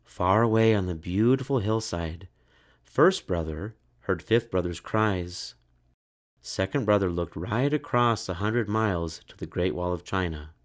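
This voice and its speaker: A man reading a children's story aloud in a measured, expressive way. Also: low-pitched. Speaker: storybook narrator.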